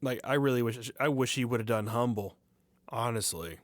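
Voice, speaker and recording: A man's voice: mid-range voice, moderate pace, no room sound. A young man as a podcast guest, talking in a laid-back way and trailing off. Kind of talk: casual chat.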